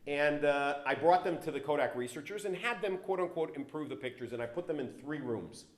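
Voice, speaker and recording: A man with a low voice giving a guest talk at an event, telling business stories with big rises in pitch and volume on key words, sometimes stepping off the mic. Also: a little fast. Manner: animated, jumps in pitch and loudness on key words. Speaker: guest speaker. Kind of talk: storytelling talk to an audience. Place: event hall with an audience. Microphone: handheld or stand mic, sometimes stepping away from it. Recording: roomy hall echo.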